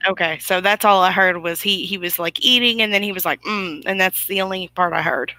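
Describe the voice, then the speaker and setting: A woman's voice: fairly low pitch, steady tone, fairly quick, sharp tone, no echo, low-quality recording. A woman hosting an online broadcast in casual, opinionated chat, emphatic and fond of rhetorical questions.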